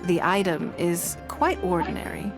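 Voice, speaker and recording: A woman's voice: on the low side, very animated, unhurried, gravelly, music in the background, heavily acted. A woman voicing a poised, gracious and wealthy woman of influence in a video game, speaking formally and articulately. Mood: composed, assured.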